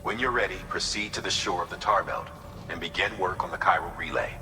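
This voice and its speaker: An actor playing an authoritative, solemn male commander in a video game, giving orders in a measured, formal way. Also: sits low, bright, forceful tone. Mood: grave briefing. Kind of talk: video game cutscene acting. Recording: long hall reverb under music.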